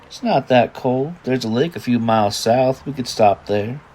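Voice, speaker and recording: A man's voice: mid-range voice, moderate pace, a little mellow, a little hiss or hum, natural voice. A man acting the part of a weary, teasing father of a teenage daughter in an audio drama. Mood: wry, easygoing.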